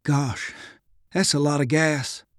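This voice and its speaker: A man voicing an uneasy army private in an audiobook war story, plain-spoken, halting and nervous. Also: on the high side, fairly even intonation, no room sound, clean studio sound. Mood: uneasy explaining. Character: uneasy young army private spooked by danger.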